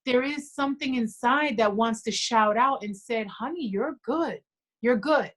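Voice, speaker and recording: A woman in her forties, a podcast guest, talking earnestly and reflectively with quiet conviction. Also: on the high side, measured pace. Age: 40s. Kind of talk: casual conversation.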